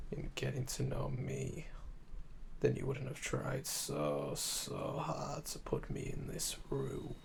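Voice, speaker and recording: A man playing a calm, flirtatious, obsessive assassin under interrogation in an ASMR audio drama, teasing and deliberate, with breathy murmurs. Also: medium pitch, a little hiss or hum, full character voice. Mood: coy, probing.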